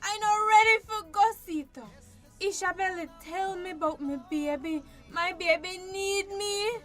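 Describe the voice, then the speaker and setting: A woman's voice: very high-pitched, a little slow, a bit bright. An actress playing a drunken, self-pitying young mother in a radio drama, slurring in a Belizean Creole accent. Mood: pleading, stubborn. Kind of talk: radio drama acting in dialect. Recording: radio drama with faint music behind.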